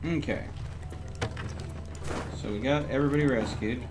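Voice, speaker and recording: A man's voice: medium pitch, moderate pace, music in the background. A man streaming a video game, giving casual commentary as he talks to himself and chats to viewers.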